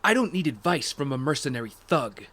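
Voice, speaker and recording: A man's voice: medium pitch, sing-song, brisk, a bit bright. An actor playing a defiant, idealistic young man in an audio drama, speaking earnestly.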